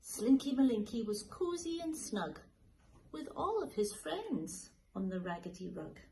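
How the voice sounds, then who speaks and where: A woman teacher reading a children's picture book aloud in a slow, lilting, expressive way. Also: fairly high pitch, a little hiss or hum, low-quality recording. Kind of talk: storybook read-aloud for children.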